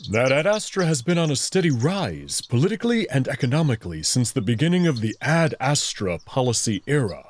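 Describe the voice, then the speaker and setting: A man playing a proud, protective furry alien prince in a visual novel, speaking in an assured, measured way with formal phrasing. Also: mid-range voice, big pitch swings, slight background noise, close to an everyday voice. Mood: assured, explanatory. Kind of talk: visual novel character acting.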